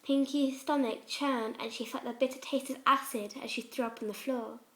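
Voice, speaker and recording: A woman's voice: sits high, steady tone, normal speed. A woman reading fan fiction aloud as a dramatic scripted story narration.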